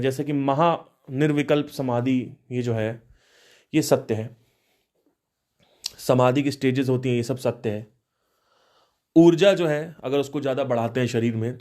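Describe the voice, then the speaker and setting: A man's voice: medium pitch, darkish tone. A man of thirty hosting a podcast, musing aloud on spiritual ideas with restarts and rhetorical questions. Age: 30s. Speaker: podcast host. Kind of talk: reflective spiritual talk.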